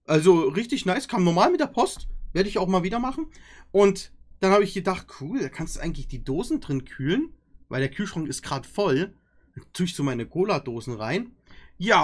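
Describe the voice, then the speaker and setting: A man's voice: sits high, sing-song, brisk. A young man giving casual, rambling, mocking commentary as a reaction video host. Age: young adult. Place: decorated room at home.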